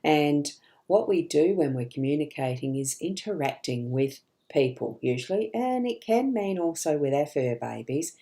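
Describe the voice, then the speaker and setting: A middle-aged woman with a rough morning voice, chatting casually as she hosts a live card-reading stream and greets viewers. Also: low-pitched, expressive, moderate pace, dark tone. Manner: chatty, greets viewers by name, frequent ums and restarts. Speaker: psychic card reader hosting a live stream.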